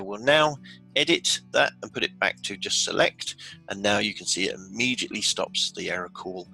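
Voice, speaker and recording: A man's voice: fairly low pitch, pushed and bright, breathy edge, rough recording. A man presenting an informal instructional screen walkthrough, explaining each step aloud in a conversational way. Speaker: software tutorial presenter.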